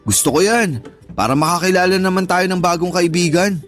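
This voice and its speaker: A man's voice: high-pitched, fairly quick, fairly bright tone, soft background music. A man with a Filipino accent acting a cheeky, eager guy in his early twenties, playful and colloquial, in a radio drama. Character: cheeky lovelorn 22-year-old guy, eager younger friend. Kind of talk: Tagalog radio drama dialogue.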